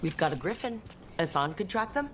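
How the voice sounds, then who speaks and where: A woman's voice: on the low side, very animated, fairly quick, a little hiss or hum. A woman playing a caring young female companion in a fantasy video game. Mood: curious, concerned.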